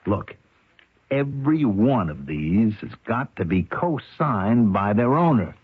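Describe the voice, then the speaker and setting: An actor playing a gruff, domineering male con artist with condescending, slangy tough-guy talk in a radio drama. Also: on the low side, big pitch swings, slow, heavily acted. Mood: angry, scolding. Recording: muffled, phone-like old radio recording.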